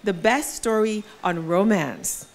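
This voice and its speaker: A woman's voice: medium pitch, takes it slowly, vocal fry. A woman hosting an awards ceremony, announcing categories and nominees in a polished emcee manner with light self-deprecating asides. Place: event venue.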